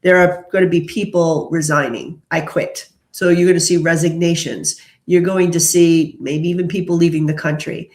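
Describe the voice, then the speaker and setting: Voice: medium pitch, fairly even intonation, normal speed, few pauses, darkish tone. A tarot reader hosting a prediction monologue, moving between short declarative pronouncements and casual, conversational explanation. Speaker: tarot reader, host of the channel. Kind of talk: tarot prediction monologue mixing read-out notes and casual commentary. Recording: roomy, echoing indoor sound.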